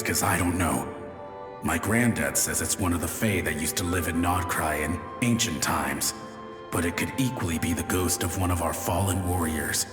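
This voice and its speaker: A man voicing the ghost of a lighthouse-keeping soldier in a video game, folksy and chatty when calm and barking frantic orders when alarmed. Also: low voice. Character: ghost of a male soldier lighthouse keeper, friendly then panicked. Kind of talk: game voice acting. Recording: echoey ghostly reverb. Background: game music.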